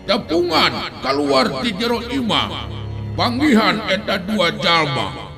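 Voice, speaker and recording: Male storyteller as ancient protective spirit, solemn, drawn-out words, extremely unhurried, music behind, audio drama. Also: sits high, big pitch swings, creaky, voice pushed up, heavily acted. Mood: calm, reassuring.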